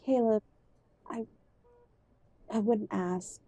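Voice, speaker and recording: A woman playing an ailing, contrite mother in an audio drama, soft and pleading toward her estranged son. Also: medium pitch, steady tone, very slow. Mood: sorrowful. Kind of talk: audio roleplay drama acting.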